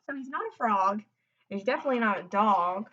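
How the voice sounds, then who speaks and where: A woman's voice: medium pitch, animated, a little fast, sharp tone, highs cut off. A woman reads a picture book aloud and chats in between, explaining things simply as if to young children. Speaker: read-aloud host. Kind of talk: picture book read-aloud with chatty asides. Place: indoors at home, sitting on the floor.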